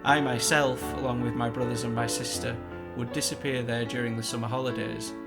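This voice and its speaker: A man reading a eulogy he wrote for his grandmother, steady and affectionate. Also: medium pitch, a little fast. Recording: voice-over with loud music behind.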